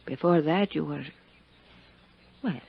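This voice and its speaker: Husky, anxious radio-drama femme. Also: female voice, low-pitched, takes it slowly, full character voice. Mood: hurt, reproachful. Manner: dramatic, pleading. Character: alluring, anxious woman entangled with a detective. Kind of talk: 1950s radio drama acting. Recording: muffled 1950s radio recording.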